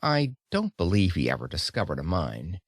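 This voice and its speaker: A man reading an audiobook of a speech in an expressive storytelling manner, with dramatic pauses. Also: sits low, husky, no room sound, studio quality. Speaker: audiobook narrator. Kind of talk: scripted audiobook narration of a speech.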